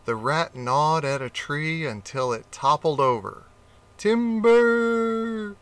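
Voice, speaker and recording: A man reads a children's storybook aloud, slow and gentle, with lots of expression. His voice is bright and crisp, and his pitch sits in the middle range. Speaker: audiobook reader.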